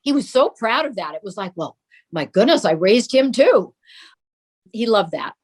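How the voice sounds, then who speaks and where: A woman's voice: a little high, very animated, moderate pace, slightly rough. An older woman reminiscing casually as an interview guest.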